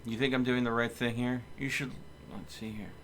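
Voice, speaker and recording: A man's voice: medium pitch, flat delivery, normal speed, slight background noise. A man giving casual live game commentary, thinking aloud and talking to his viewers. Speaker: video game live streamer.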